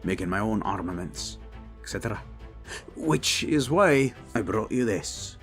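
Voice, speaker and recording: Dwarf king and master smith , played by a man: low-voiced, gruff, blunt and proud, unhurried, fantasy audio drama over music. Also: animated. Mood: proud, matter-of-fact. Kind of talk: fantasy audio roleplay acting.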